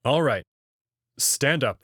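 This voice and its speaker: A man's voice: medium pitch, animated, slow pace, pushed and bright, slightly rough, dry recording, studio quality, exaggerated voice. A man voicing a wary young man stranded on an alien ship, speaking plainly and asking questions.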